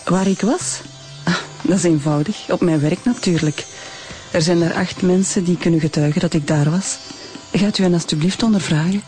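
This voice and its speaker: An actress playing a composed bereaved woman under police questioning in a radio drama, measured and serene. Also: on the low side, a little mellow, soft background music, close to an everyday voice. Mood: composed, defensive.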